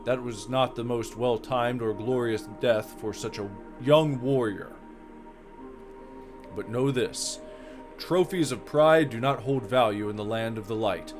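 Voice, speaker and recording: Man as a soft, solemn heavenly being. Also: medium pitch, natural voice. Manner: solemn, formal, soothing. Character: serene, soft-spoken heavenly being ushering the dead onward. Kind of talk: dramatic reading of a visual novel.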